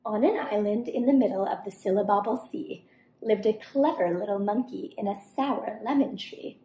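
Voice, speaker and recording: A young woman reading a rhyming children's story aloud expressively and deliberately. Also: medium pitch, dark tone. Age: young adult. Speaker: storybook reader. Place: home kitchen-dining room, indoors. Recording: roomy indoor echo.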